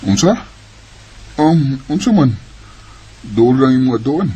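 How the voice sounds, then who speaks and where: A man's voice: medium pitch, animated, takes it slowly, dark tone. A man playing a protective, hardworking older brother in a Filipino Bisaya radio drama. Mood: agreeable, advising. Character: protective, hardworking older brother raising his younger sister.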